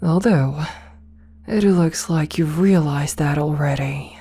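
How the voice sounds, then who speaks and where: A woman playing a possessive, domineering rich CEO in an ASMR roleplay, speaking softly and coaxingly with condescending sweetness, slipping into whispers. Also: low voice, heavily acted. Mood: hypnotic, coaxing. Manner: slow, soft, breathy coaxing, slipping into whispers.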